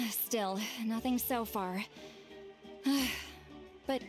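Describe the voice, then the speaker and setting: A woman's voice: on the high side, very slow. A woman playing a confident, sprightly granny warrior in a video game, teasing and folksy in manner. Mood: calm, explaining. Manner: playful, self-assured, folksy. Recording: music behind the voice. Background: game music.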